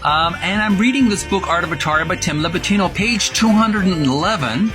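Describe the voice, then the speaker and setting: A man hosting informal video commentary, halting as he goes and drawing out key words for emphasis. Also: high-pitched, expressive, music in the background. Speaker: host of a retro gaming channel. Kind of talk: informal video commentary with read-aloud passages.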